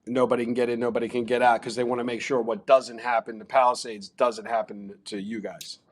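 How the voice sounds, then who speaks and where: A man's voice: mid-range voice, flat delivery, a little fast. A man with a Baltimore accent hosting a casual sports betting talk show, chatty and promotional. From Baltimore, Maryland. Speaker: show host.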